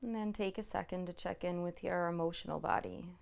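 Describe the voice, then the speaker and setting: A woman meditation instructor leading a guided body scan in a soothing, gently reassuring way, unhurried and leaving long pauses. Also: lowish voice, vocal fry.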